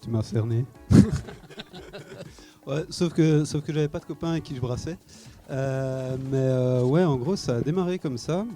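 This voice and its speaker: Male guest with a very dark, mellow voice; easygoing and quick to laugh. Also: medium pitch, faint music behind. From Belgium. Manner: relaxed, laughs easily, self-deprecating. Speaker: brewer, guest. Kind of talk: radio interview. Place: live broadcast from a wine shop.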